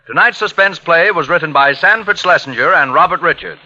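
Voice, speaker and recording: A man's voice: a little high, a little fast, sharp tone. An American man reading scripted announcements in a 1940s radio style. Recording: muffled lo-fi vintage radio broadcast. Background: music.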